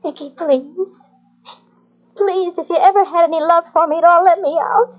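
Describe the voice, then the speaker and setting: A woman's voice: very high voice, moderate pace. A woman playing a wary, frightened gangster's wife in an old radio drama, cool and then pleading. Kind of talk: 1940s radio acting. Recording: muffled old radio tape.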